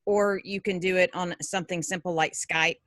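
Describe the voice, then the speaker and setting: A woman's voice: lowish voice, fairly even intonation, normal speed, a bit bright, no room sound. A woman giving direct, practical advice on a panel. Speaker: panel participant. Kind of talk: casual advice. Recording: dry close audio.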